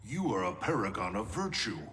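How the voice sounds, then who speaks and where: An actor playing a stern, righteous guardian in a video game, forceful and formal. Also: male voice, lowish voice, sing-song, brisk, soft background music. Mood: grim. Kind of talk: dramatic video game acting.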